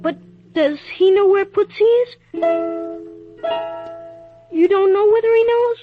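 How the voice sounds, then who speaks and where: A woman's voice: very high-pitched, measured pace, music in the background. A performer voicing an earnest, polite, anxious little boy with eager, stammering speech in 1940s radio drama. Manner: eager, stammering, boyish slang. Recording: dull old radio recording.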